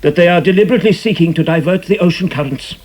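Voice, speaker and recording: An actor playing a stiff, easily affronted, earnest scientist in a radio drama, speaking in a formal, pedantic, deliberate way. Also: male voice, high-pitched, a little mellow. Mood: grave, earnest.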